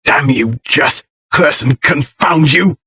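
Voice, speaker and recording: A man voicing a quick-tempered young nobleman who speaks in a curt, stern, commanding way with a husky tone. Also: on the high side, sharp tone, dry recording, full character voice. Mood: furious.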